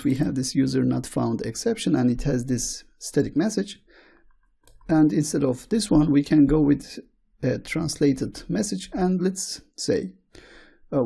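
A man with a Turkish accent, a native Turkish speaker, walking through code slowly, step by step, in a screencast tutorial. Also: fairly high pitch, creaky, dark tone, husky. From Turkey. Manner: slow, step-by-step walkthrough. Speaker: programming tutor.

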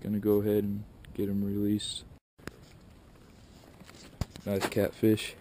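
A man's voice: on the low side, completely flat delivery, takes it slowly, warm tone, a little hiss or hum. A young man talking casually to an action camera outdoors while fishing, in a plain, matter-of-fact way. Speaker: fishing vlogger. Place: outdoors by a truck and a river at dusk. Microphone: wide-angle action camera held close.

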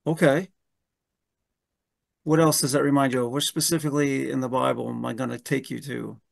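A man's voice: mid-range voice, little pitch movement, a little fast, vocal fry. A man in his fifties, a pastor leading a Bible study over a video call from home, who often restarts his sentences and keeps asking "right?". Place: room at home. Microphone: webcam on a video call.

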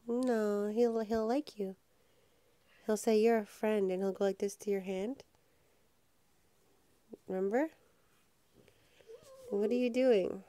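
A woman's voice: mid-range voice, fairly even intonation, slow, long pauses, mellow, no echo. A woman talking casually with a young child, encouraging with short reactions and questions, recorded in a room at home.

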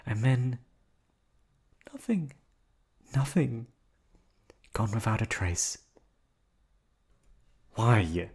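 A man's voice: medium pitch, animated, very slow, long pauses, breathy edge, exaggerated voice. A man voicing an obsessive male yandere hotel receptionist in an ASMR drama, silky and polite, sliding into menace and close whispers. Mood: angry. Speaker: voice actor. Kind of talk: ASMR roleplay audio drama.

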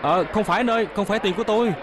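A man's voice: sits high, rapid-fire, background noise, lightly acted. A man playing a kind, humble farmer father in a children's cartoon, with a Southern Vietnamese accent and hesitant, stammering delivery. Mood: flustered. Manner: hesitant, stammering with many filler sounds.

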